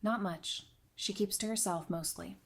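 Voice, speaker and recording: A woman's voice: mid-range voice, a little slow. A woman playing a perceptive, candid advisor in an audio drama, talking in a thoughtful, frank way. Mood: dry, approving. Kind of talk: fan audio drama dialogue.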